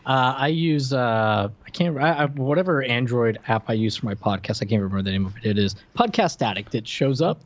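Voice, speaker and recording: A man speaks as a podcast co-host in loose, conversational fashion. His voice is mid-pitched, and he slips dry, joking asides into the chat. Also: lively intonation, a little fast, few pauses, lo-fi sound.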